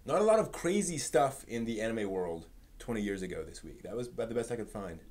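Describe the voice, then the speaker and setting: A man hosting a casual live stream, talking in a low-key, halting, muttering way. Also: lively intonation, darkish tone.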